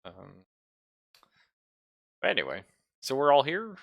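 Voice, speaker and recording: A man's voice: a little high, wildly swooping pitch, slow pace, creaky, no echo, highs cut off. A man chatting casually with a group as the game master of an online tabletop role-playing game. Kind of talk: casual group chat while running a game.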